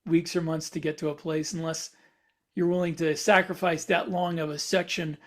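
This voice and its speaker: A man in a casual podcast panel discussion, explaining things in a halting way as he reasons aloud. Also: fairly high pitch, flat delivery.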